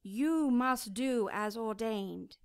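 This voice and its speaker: A woman's voice: a little high, slow, clean tone, no room sound, clean studio sound. A woman playing a stern cherub in a radio drama, speaking with formal, pompous command. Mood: imperious. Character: stern, imperious cherub, heavenly messenger.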